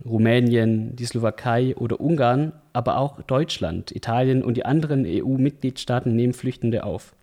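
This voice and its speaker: A man's voice: mid-range voice, warm tone. A man hosting a podcast, reading a prepared introduction and asking interview questions steadily. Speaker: podcast host.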